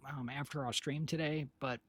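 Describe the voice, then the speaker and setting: A man with a raspy, husky voice, hoarse today, chatting casually on a live stream. He rambles along with lots of fillers and restarts, at a mid pitch. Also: fairly even intonation, moderate pace, a bit bright. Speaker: streamer and developer.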